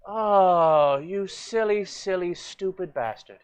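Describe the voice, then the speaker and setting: A woman's voice: on the low side, slow pace, clean tone. A woman playing a scolding, easily offended elderly woman in improvised stage comedy, indignant and sarcastic. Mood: scornful.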